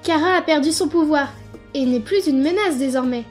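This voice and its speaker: A woman voicing a gentle, hopeful young boy in a comic dub, speaking earnestly and pleadingly. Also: high voice, normal speed, soft background music, lightly acted. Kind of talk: animated comic dub acting.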